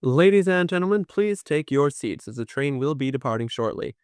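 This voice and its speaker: A man's voice: a little high, expressive, a little fast, a little mellow, clean tone, no echo, studio quality, close to an everyday voice. A man voicing a formal, matter-of-fact young male train worker in an audio drama. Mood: formal, announcing.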